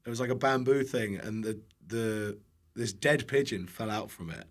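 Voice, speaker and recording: A man's voice: on the low side, moderate pace. A British man talking casually as a podcast guest, chatty and jokey with informal, loose phrasing. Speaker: podcast guest, online cooking content creator.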